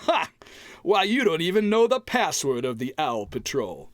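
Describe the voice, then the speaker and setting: A man's voice: on the high side, huge pitch swings, moderate pace, no echo, clean studio sound. A man playing a grumpy, exasperated homeowner with a folksy, exaggerated delivery in old-time radio comedy style. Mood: scoffing challenge. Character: grumpy homeowner tidying his cluttered closet, testy.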